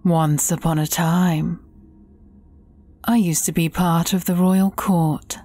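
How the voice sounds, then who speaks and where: Evil sorceress: low, mellow, theatrical menace with whispered turns, fairy-tale audio drama. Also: female voice, fairly even intonation. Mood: brooding, bitter. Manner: slow, theatrical, menacing, often dropping to breathy whispers.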